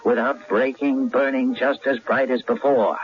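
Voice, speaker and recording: An actor playing a cocky, lecturing bank bookkeeper from the turn of the century in an old-time radio drama. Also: male voice, mid-range voice, level tone, a little slow, natural voice. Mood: insistent lecturing. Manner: lecturing, emphatic, self-assured. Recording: dull lo-fi radio recording.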